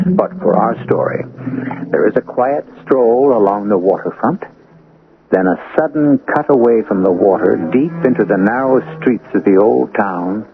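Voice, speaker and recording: A man hosting a radio mystery series, narrating a script in a measured, theatrical storytelling manner. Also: fairly low pitch, gravelly. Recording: tinny old radio broadcast with music.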